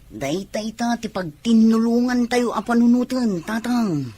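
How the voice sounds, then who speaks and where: A woman's voice: a little high, expressive, unhurried, warm tone, slightly rough. A Filipino actress playing a brash, scheming young tomboy woman in a radio soap opera. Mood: pleading.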